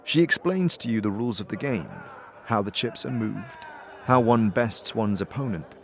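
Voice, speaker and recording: Low-voiced male narrator and lead, a bit hesitant. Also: lively intonation, normal speed. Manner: hesitant, with filler "uh"s in dialogue. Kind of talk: audio drama narration and acting.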